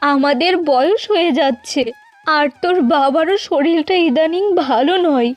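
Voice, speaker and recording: A woman's voice: sits high, moderate pace, soft background music, lightly acted. A woman voicing a caring, admonishing middle-aged village mother in a cartoon. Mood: gently admonishing. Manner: earnest, admonishing. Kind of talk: Bengali cartoon fairy-tale acting.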